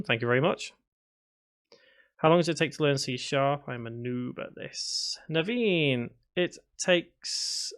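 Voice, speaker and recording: A man's voice: mid-range voice, gravelly. A man from Liverpool with a faded Scouse accent, hosting a casual livestream as a game development tutor, chatting with viewers and sometimes mumbling to himself. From Liverpool area, England. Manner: mumbles to himself while working. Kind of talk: casual livestream chat, answering viewer comments.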